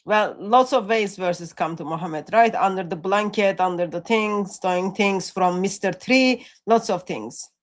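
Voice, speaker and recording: A woman's voice: medium pitch, a little slow, few pauses. Woman, co-host, live stream banter, teasing, playful, dry humor.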